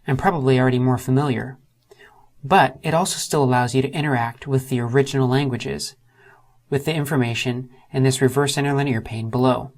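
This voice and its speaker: A man with a mid-range voice talks through a software tutorial in a steady, methodical way. He takes each step in order and slows right down whenever he spells out a term or letters. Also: mid-range voice. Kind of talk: scripted screen-recorded tutorial narration.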